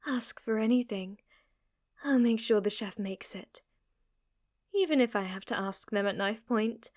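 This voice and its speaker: A woman's voice: fairly high pitch, unhurried, darkish tone, dry recording, studio quality. A woman playing an obsessively devoted, submissive maid in an ASMR roleplay, speaking formally, reverently and flirtatiously to her master. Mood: apologetic, eager servant.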